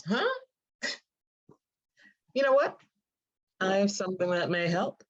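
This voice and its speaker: Lively, lowish-voiced actress as a playful, teasing female best friend; table-read drama, lo-fi sound. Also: slow. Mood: upbeat. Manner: animated, teasing. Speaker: actor in a screenplay table read. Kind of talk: live dramatic script reading. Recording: dull lo-fi audio.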